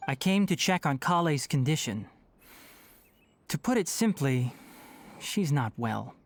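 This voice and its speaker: An actor playing a calm, scholarly young male forest ranger in a video game, speaking in an articulate, measured way. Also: on the high side. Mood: calm, reassuring. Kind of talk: scripted video game dialogue. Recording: music behind the voice.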